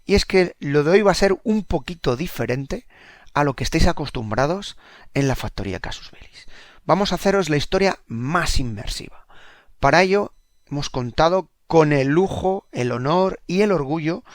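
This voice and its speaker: A man's voice: fairly high pitch, no room sound, clean studio sound. Man from Spain introducing an audio drama. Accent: Spanish from Spain. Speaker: podcast host.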